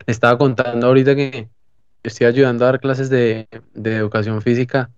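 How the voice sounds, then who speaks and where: Colombian male podcast co-host, colloquial and joking, average pitch, dry slightly lo-fi audio. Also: flat delivery, brisk, smooth, no echo. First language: Spanish. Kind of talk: casual chat.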